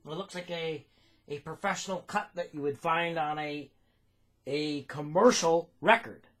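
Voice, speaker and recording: A man with an American accent giving an informal technical explanation as a demonstrator, in a deliberate, halting way. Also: a little high. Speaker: phonograph recording demonstrator.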